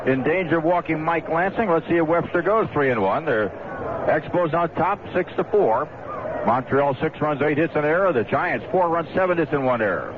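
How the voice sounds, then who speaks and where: A man's voice: fairly high pitch, expressive, moderate pace. An American man calling baseball play-by-play as live radio sports commentary. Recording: tinny, noisy, band-limited old broadcast.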